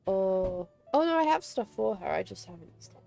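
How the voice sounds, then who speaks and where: A woman streams a game with a creaky, slightly mellow voice. She comments casually and informally, as if half to herself. Game music plays behind her. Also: medium pitch, big pitch swings, normal speed. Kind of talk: casual live gameplay commentary.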